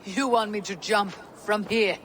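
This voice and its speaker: A woman's voice: medium pitch, steady tone, measured pace, a little hiss or hum, lightly acted. A woman playing a proud, fearless Viking warrior in a video game, with blunt, wry and stately phrasing. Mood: wry, skeptical. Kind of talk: dramatic video game acting.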